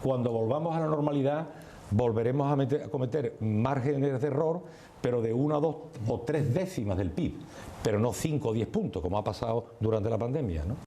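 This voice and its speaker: A man's voice: mid-range voice, a little fast, mellow, slight background noise. A man explaining the economy in an interview, thoughtful and stopping on fillers as he talks.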